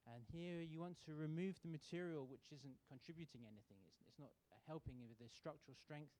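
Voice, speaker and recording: Man from the UK, sponsor rep at a lectern, soft warm tone, hesitant delivery. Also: fairly high pitch. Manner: relaxed, halting with many fillers and restarts. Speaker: sponsor representative. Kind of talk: welcome speech at a contest. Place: university auditorium.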